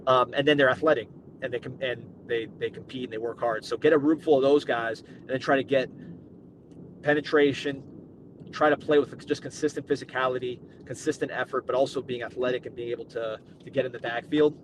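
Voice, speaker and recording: A male podcast host breaking down football recruiting in a loose, rambling way, often restarting his sentences and drifting into asides. His voice sits at an ordinary pitch. Clear background noise hums under the recording. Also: steady tone, fairly quick. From Cuban heritage. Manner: rambling, self-interrupting, conversational. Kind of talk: casual sports podcast chat.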